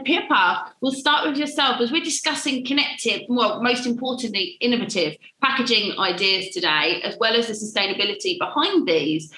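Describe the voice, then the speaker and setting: A woman's voice: fairly high pitch, sharp tone, reverberant, rough recording. A woman hosting a panel webinar, introducing guests with upbeat enthusiasm. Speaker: webinar host.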